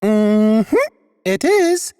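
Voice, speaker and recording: A woman voicing a sweet, eager young male cat-eared butler in an audiobook, with a coy, gentle manner. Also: mid-range voice, sing-song, very slow, smooth, no room sound, studio quality, big-room sound. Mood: hesitant, sweet.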